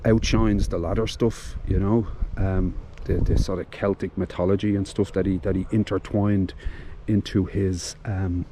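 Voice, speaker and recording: A man with a Dublin accent speaks in a fairly low voice, chatting warmly to his viewers and often pausing to restart. He records outdoors, with clear street ambience and passing cars behind him. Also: little pitch movement, a little hiss or hum. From Ireland. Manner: chatty and heartfelt, with frequent fillers and restarts. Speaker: vlogger. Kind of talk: casual vlog commentary.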